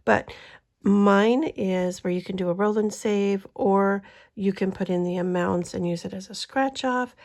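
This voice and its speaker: A woman chats casually as host of her budgeting channel. Her voice is stuffy from a cold, with a gravelly, creaky edge and a mellow, dark tone. She rambles along with frequent ums. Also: medium pitch, a little slow. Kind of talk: casual vlog chat.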